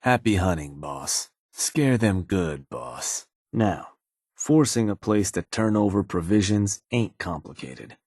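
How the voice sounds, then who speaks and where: Sly video game gang fixer, very low pitch, husky, laid-back, slangy, folksy menace, dry close recording. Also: male voice, lively intonation, slow pace, darkish tone, no echo, full character voice. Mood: casual, menacing. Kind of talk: video game character dialogue.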